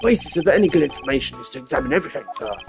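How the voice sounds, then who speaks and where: A man's voice: medium pitch, animated, racing, vocal fry, over music, voice pushed up. A man voicing an eager, bumbling police detective who is folksy, enthusiastic and deferential, in a video game. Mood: earnest, sheepish. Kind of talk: video game character voice-over.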